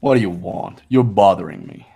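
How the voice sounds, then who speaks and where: Man playing a low-voiced, haughty young heir. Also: expressive, normal speed, creaky, warm tone. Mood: curt, disdainful. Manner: haughty, dismissive, clipped. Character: arrogant, cold young male rich heir, contemptuous. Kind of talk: fan voice-over of visual novel game.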